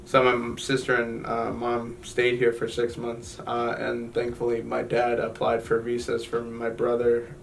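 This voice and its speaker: Young man from Guatemala, gravelly flat voice. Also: mid-range voice, far from the mic, slight background noise. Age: young adult. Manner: halting, many fillers and restarts. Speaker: interviewee. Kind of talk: personal story in an interview.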